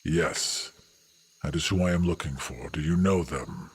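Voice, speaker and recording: A man voicing a massive, grave female centaur hunter in a tabletop game, with a husky low voice and a formal, measured manner. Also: full character voice. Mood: stern, questioning.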